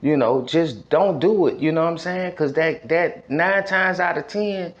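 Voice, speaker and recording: High voiced american guy telling his story, slangy n emphatic, keeps repeating himself, kinda muffled lo-fi audio. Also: measured pace. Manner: emphatic, repetitive, street slang, frequent check-in phrases. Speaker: podcast storyteller. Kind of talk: casual personal storytelling with a message.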